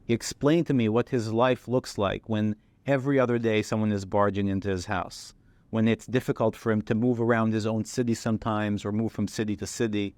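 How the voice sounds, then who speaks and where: Lowish-voiced man giving reflective testimony. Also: little pitch movement, darkish tone, no echo. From Israel. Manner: measured, reflective storytelling with occasional "um". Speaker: former soldier giving testimony. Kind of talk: personal testimony, clip from a talk.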